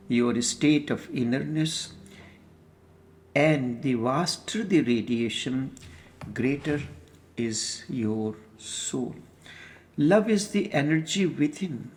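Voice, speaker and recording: A man with a mid-range voice guides a meditation as a spiritual teacher. He speaks very slowly and solemnly. His phrases come out short and deliberate, separated by pauses. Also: medium pitch, a little hiss or hum. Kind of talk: slow guided meditation discourse.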